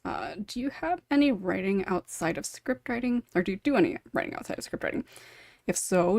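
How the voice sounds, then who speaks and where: A woman's voice: medium pitch, vocal fry, darkish tone, dry recording. A woman hosting a casual panel discussion, moving between questions and guests as moderator. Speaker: podcast host and moderator.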